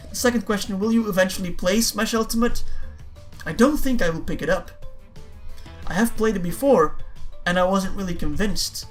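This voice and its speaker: Chatty young man with soft music behind. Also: high-pitched, moderate pace, long pauses. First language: Dutch. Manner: chatty, repeats words and restarts, says "man" often. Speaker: YouTuber. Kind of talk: casual Q&A answering viewer questions.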